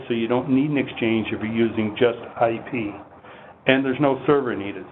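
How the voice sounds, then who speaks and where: Through a thin, phone-like connection with noticeable noise, a man delivers a technical training presentation. His voice sits at a middle pitch. He explains the product in a measured, instructional manner, as a trainer walking through slides. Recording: muffled phone-like line with heavy noise.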